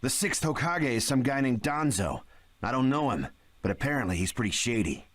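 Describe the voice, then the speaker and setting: A man's voice: medium pitch, big pitch swings, fairly quick, a bit bright. A man voicing a blunt, urgent young ninja delivering bad news in an English game dub. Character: rough young male ninja, bearer of news. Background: game music.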